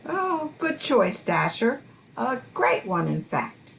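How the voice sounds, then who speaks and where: A gracious, motherly Christmas matriarch played by a woman in a radio play. The voice is fairly high and takes its time, gentle and encouraging, over faint noise. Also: very animated, slow, gravelly, exaggerated voice.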